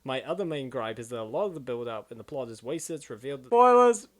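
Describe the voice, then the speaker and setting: A man hosting a comic dub with excitable, rambling, casual reaction commentary. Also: mid-range voice, fast.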